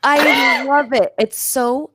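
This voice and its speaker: A woman's voice: high voice, fairly even intonation, slow pace, background noise. A woman co-hosting a comedy show in casual banter, teasing and emphatic.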